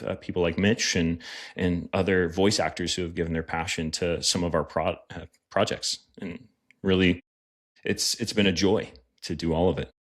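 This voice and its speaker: A man with a deep, low voice talks in an earnest, reflective way as a podcast guest. He pauses often on fillers as he answers. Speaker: podcast guest, pastor and writer. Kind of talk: conversational interview answers.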